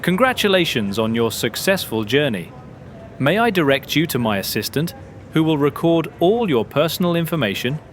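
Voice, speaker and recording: A man's voice: on the high side, sing-song, moderate pace. A man playing a polite, joking AI greeter robot in an audio play. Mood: formal.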